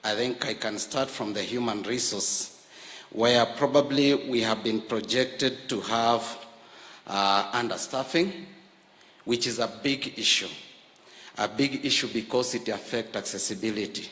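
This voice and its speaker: A Kenyan man giving a formal public speech to political leaders, measured, with frequent fillers, rising to stress key points. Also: medium pitch, fairly bright tone. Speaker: health worker leader addressing a political forum. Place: large university hall. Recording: reverberant hall.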